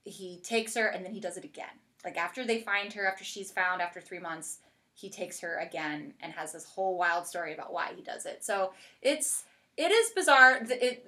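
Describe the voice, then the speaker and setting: A woman's voice: medium pitch, sharp tone. An American woman hosting a podcast, chatting casually and informally with plenty of "like". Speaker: podcast host.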